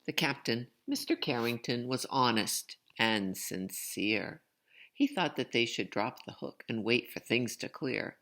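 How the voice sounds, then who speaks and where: A woman reads a children's story aloud in a low, creaky voice. Her intonation swoops expressively, and she pauses for chatty asides to young listeners. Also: expressive, fairly bright tone.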